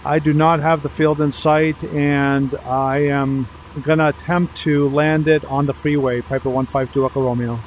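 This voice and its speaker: A man thinking aloud haltingly as he plays a pilot in a flight simulator, mixing commentary with radio calls. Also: a little high, flat delivery, a little hiss or hum.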